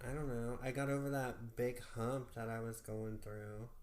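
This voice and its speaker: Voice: mid-range voice, flat delivery, normal speed, a little mellow, slight background noise. A podcast co-host in their thirties chatting casually, talking bluntly and swearing freely. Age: 30s. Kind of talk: casual chat.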